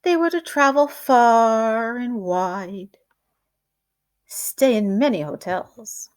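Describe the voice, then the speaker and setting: A woman reading a children's picture book aloud like a teacher, in a deliberate, expressive storytelling way. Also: a little high.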